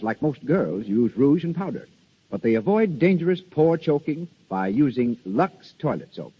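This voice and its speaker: A man's voice: on the high side, breathy edge. A man working as a radio announcer and interviewer, in scripted 1930s advertising style. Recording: dull, reverberant 1930s radio recording.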